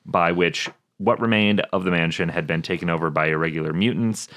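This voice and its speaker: A man with a very deep voice co-hosts a podcast in a dry, close-sounding space. He talks casually and jokes around, sometimes reading out background notes. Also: fairly quick, fairly bright tone, no room sound. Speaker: podcast co-host.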